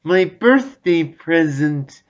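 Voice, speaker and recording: A man's voice: fairly high pitch, animated, extremely slow, pitched above the performer's own voice. A man voicing a wretched, sly cave creature who hisses and wheedles, in a dull lo-fi audiobook recording. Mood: longing. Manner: hissing, wheedling, talks to himself. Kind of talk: audiobook reading.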